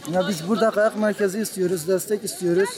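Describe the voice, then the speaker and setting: A man's voice: high-pitched, steady tone, fast. A young man, a local skier, earnestly asking for support, hesitating with fillers while interviewed outdoors in freezing cold. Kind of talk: street interview. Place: outdoors at a ski race in deep cold. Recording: outdoor crowd chatter behind.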